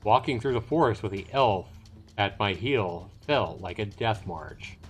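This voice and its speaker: A man's voice: lowish voice, lively intonation, a little slow. A man hosting a livestream, chatting informally about a game and reading its text aloud. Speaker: livestream host. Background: game music.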